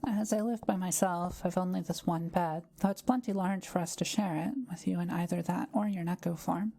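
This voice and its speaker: A woman playing a kind, soft-spoken forest witch in an intimate audio roleplay, talking to the listener in gentle, formal, old-fashioned wording. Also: on the low side, fairly even intonation, brisk, gravelly, a little hiss or hum. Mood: warm, hospitable.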